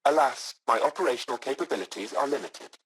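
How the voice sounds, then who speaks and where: An actor playing a courteous AI subroutine of a taxi company in a video game, speaking with formal, measured customer-service politeness. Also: male voice, medium pitch, lively intonation, a bit bright, breathy edge. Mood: formal, polite.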